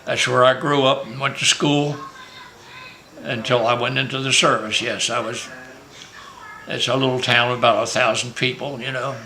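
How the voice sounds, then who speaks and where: This older man from Maryland has a bright, forward voice and reminisces at length. He rambles through his stories with restarts and the odd joke, the way a grandfather talks at a family gathering. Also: mid-range voice, flat delivery, normal speed, creaky, a little hiss or hum, low-quality recording. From Maryland, USA. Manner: rambling, joking storyteller with many restarts. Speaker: grandfather being interviewed. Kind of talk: casual family interview, reminiscing. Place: family home at a reunion.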